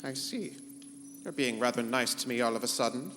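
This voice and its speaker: A man's voice: mid-range voice, fairly quick, noisy background, natural voice. A man playing a haughty, theatrical and petulant rogue ship computer in a pulp sci-fi comedy radio play. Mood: smug. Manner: grandiose, pompous. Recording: live convention hall stage, reverberant, music behind.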